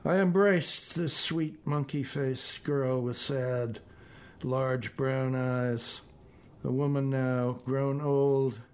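A man reading his own literary short story as narrator, in a measured, deliberate manner. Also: medium pitch, darkish tone.

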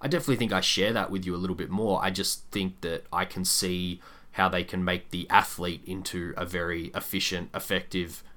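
A man co-hosting a sports podcast, giving measured, candid analysis in a casual discussion. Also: low-pitched, steady tone, pushed and bright.

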